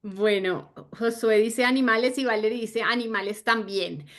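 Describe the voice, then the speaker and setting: A woman's voice: on the high side, normal speed. A woman in her thirties from Argentina, leading an online sales training in a friendly, chatty way with many fillers. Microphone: video call.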